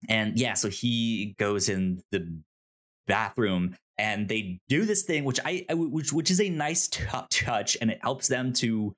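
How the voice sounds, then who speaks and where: A man co-hosting a podcast, casually retelling scenes in a halting way, repeating words and acting out dialogue. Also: fairly low pitch, a bit bright. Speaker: podcast co-host.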